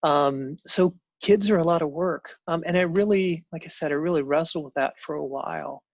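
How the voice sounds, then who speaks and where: Gravelly-voiced man in his fifties, halting. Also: on the high side, dry recording, dull audio. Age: 50s. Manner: halting, many ums and restarts. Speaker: guest telling his adoption story. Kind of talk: personal storytelling on a panel show.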